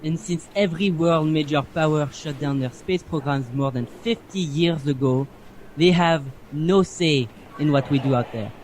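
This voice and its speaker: An actor playing an earnest male scientist in a sci-fi radio play, with formal, deliberate phrasing. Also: fairly high pitch, a little hiss or hum, lightly acted, poor audio. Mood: grave.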